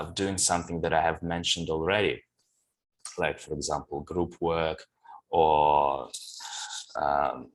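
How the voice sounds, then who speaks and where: Very deep-voiced man hosting a webinar. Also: fairly bright tone. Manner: frequent "yeah" tags and fillers. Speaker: webinar host. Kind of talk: webinar discussion.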